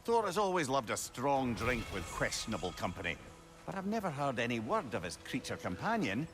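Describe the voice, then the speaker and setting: An actor playing a knowing old sage companion in a video game, speaking in a wry, reflective, lilting manner. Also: male voice, medium pitch, big pitch swings, a little fast, over music, lightly acted. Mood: curious, wry. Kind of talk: video game drama acting. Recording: noticeable background ambience noise.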